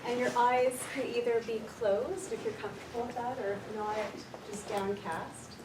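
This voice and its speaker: A woman counsellor with a quiet voice leading a slow, gentle guided meditation for a workshop audience. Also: a little high, steady tone, background noise. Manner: soft, slow, gentle and unhurried. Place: large echoey room with an audience. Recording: echoey room recorded from far off.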